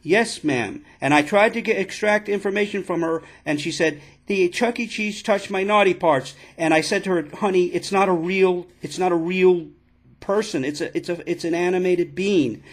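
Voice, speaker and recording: A man playing an indignant father making an absurd complaint on a prank call, halting and repeating himself insistently. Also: sits high. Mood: earnest, aggrieved. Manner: halting, repetitive, insistent, addressing listener as ma'am. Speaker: prank caller.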